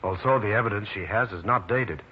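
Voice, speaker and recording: An actor playing a meek, troubled husband in an old-time radio drama, speaking in a subdued, earnest way. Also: male voice, lowish voice, a little fast, natural voice. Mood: troubled, confessing. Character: meek, troubled married man being blackmailed. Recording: muffled, faintly noisy old radio recording.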